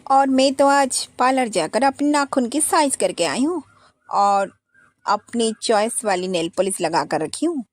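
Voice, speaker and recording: A woman's voice: fairly high pitch, animated, measured pace, no echo, studio quality. A woman voicing a lazy, vain young wife who whines to get out of chores. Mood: whiny. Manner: whiny, pleading.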